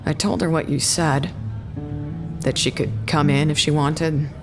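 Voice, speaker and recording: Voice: medium pitch, fairly even intonation, a little slow, music in the background, close to an everyday voice. An actor playing a weary, wry facility worker in audio drama dialogue, casual, hesitant and understated. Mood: subdued.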